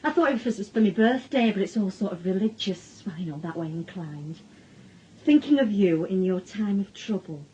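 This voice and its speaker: A woman's voice: mid-range voice, lively intonation, moderate pace, mellow, lo-fi sound, a little hiss or hum, close to an everyday voice. An actress playing a worried, middle-aged Northern English mother with a dry, sardonic manner in a naturalistic radio drama. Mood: wry. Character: worried, wry Northern mother of a suspected lad.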